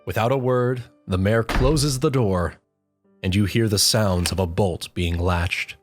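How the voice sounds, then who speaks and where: A woman's voice: a bass voice, a little slow. A woman reading a story aloud as scripted second-person narration. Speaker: fan fiction reader. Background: faint music.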